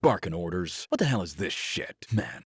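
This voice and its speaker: Stubborn angry worker, video game, low voice, defiant grumbling, rough husky edge, animated. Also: male voice, moderate pace, no room sound, studio quality, full character voice. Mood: bitter grumbling. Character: angry, stubborn working man refusing to be bossed around.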